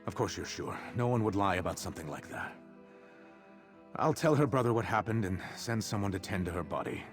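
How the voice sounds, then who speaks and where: An actor plays a weary commander of a fantasy game fort. His voice is on the low side, grave and authoritative. Faint music plays behind him. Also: lively intonation, brisk, natural voice. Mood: dismayed, weary. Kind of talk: fantasy video game voice acting.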